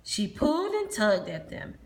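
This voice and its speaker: A woman reading a children's storybook aloud, pausing to ask the listener questions. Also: a little high, big pitch swings, slight background noise. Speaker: storybook reader.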